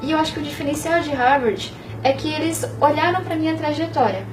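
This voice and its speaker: A young woman from Bahia in Brazil, talking in a casual interview, animated and often tagging on "sabe?". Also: sits high, recorded from a distance, background noise. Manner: fast, animated, peppered with "sabe?". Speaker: interview guest.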